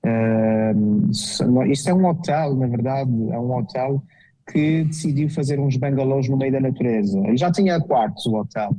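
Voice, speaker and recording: A man's voice: medium pitch, moderate pace, mellow, low-quality recording. A man from the Azores with an Azorean Portuguese accent, a guest architect chatting casually in an interview, with frequent fillers and restarts. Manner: hesitant, with many fillers and restarts.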